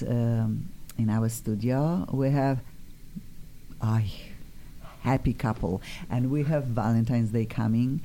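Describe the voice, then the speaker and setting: A woman's voice: rumbling low, warm tone. A woman hosting a studio talk show, chatty and effusive, often restarting her sentences. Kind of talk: lively studio chat.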